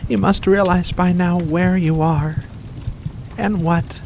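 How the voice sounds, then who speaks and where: A seductive vampire creature, played by an ASMR performer speaks at a very slow, deliberate pace in a mid-range voice. The delivery is intimate and predatory, purring with menace as if coaxing prey. A clear wash of rain-like noise sits behind the voice. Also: mid-range voice, fairly even intonation, a little mellow. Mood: possessive, coaxing. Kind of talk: ASMR roleplay monologue.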